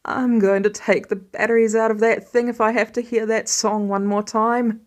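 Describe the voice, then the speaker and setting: A woman's voice: on the high side, flat delivery, normal speed, natural voice. A woman voicing a tired, sarcastic, doting new father with dry, exaggerated grousing in an audiobook story. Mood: exasperated.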